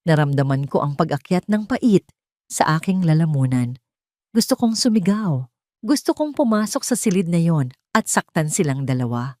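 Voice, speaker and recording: A woman's voice: sits low, very animated, normal speed, a little mellow, dry recording, clean studio sound. A woman narrating a scripted first-person drama story in an emotive, solemn manner.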